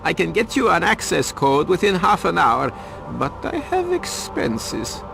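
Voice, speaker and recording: Game cutscene informant, male, high-pitched, gravelly, evasive, self-important, mercenary, faint music. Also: huge pitch swings, a little slow, heavily acted. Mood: defensive, haggling. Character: shifty, cagey, money-minded male reporter informant. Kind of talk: video game cutscene acting.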